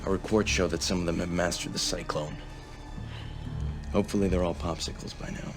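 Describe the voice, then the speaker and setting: An actor playing a gruff, sardonic military commander giving mission briefings in a video game cutscene. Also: male voice, low-pitched, fairly even intonation, fairly quick, natural voice. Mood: dry, businesslike. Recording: music behind the voice. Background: music.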